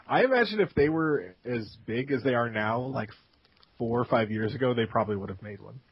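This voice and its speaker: A laid-back man co-hosting a casual gaming podcast, cracking jokes and using a lot of fillers. His voice is husky and a little breathy. The recording is dull and lo-fi. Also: mid-range voice, expressive, moderate pace, pauses often. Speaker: podcast co-host. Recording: dull lo-fi sound.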